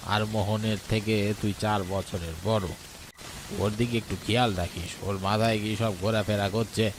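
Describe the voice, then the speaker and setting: Man playing a low-voiced kindly old village grandfather. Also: flat delivery, normal speed, lightly acted. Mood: concerned. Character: kindly elderly village grandfather, gently worried about his grandsons. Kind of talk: animated horror story dialogue. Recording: voice over clear rain noise. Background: falling rain.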